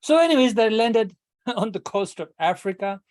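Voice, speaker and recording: Older Kenyan-born man, slightly high voice, animated storyteller speaking over a video call to a speaking club. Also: moderate pace. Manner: animated storyteller, addresses "ladies and gentlemen", rhetorical questions. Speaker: club member giving a speech. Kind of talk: prepared speech at a public speaking club. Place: online club meeting.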